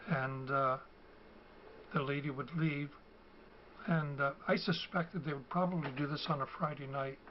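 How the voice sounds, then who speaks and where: Older man, hoarse with a cold. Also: on the high side, steady tone. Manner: slow and halting, many ums and uhs. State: has a cold, losing his voice. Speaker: video host. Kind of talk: casual explanatory talk. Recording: dull, lo-fi home recording with faint ambient noise.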